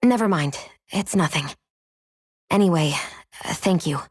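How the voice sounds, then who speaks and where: A woman playing a proud, guarded young healer in a video game, briskly brushing off concern. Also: lowish voice, darkish tone, breathy edge, dry recording, clean studio sound. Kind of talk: video game cutscene voice acting.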